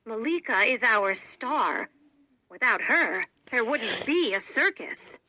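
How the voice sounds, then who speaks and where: A woman's voice: on the high side, lively intonation, slow pace, bright, forceful tone, no echo, exaggerated voice, poor audio. A woman voicing a male circus owner in a children's storybook, with a formal and earnest manner. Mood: nervous, pleading. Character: circus owner, a man, showy announcer turned nervous and solemn.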